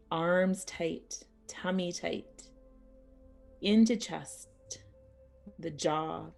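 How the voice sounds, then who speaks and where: A woman teaching yoga nidra as a guided meditation, speaking soothingly and unhurriedly in short phrases with pauses. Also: lowish voice, darkish tone.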